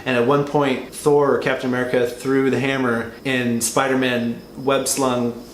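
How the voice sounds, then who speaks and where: A man co-hosting a casual, conversational review, qualifying his points in halting phrases. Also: mid-range voice, flat delivery. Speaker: co-host reviewer. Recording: roomy echo with background noise.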